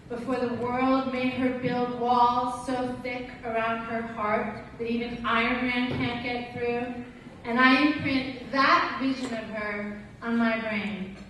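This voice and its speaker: Female spoken-word performer, teacher type: high voice, unhurried, emphatic phrasing, heard in a very reverberant hall. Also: a bit bright. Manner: deliberate, emphatic, dramatic delivery with pointed pauses. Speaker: teacher performing slam poetry. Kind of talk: slam poetry recitation with acted teacher dialogue. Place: large echoey room at a gala event. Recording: echoey hall, distant mic, faint noise.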